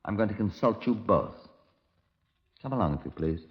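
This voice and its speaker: An actor playing a brisk, commanding British chief inspector in a 1950s radio drama, middle-aged, clipped and authoritative. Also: male voice, on the low side, animated. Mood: stern, official. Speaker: detective narrator. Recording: dull, lo-fi old radio recording.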